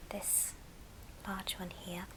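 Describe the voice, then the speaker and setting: A woman whispering breathily and gently in an ASMR show and tell, leaving pauses between short phrases. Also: medium pitch, level tone, heavy background noise. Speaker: ASMR video host.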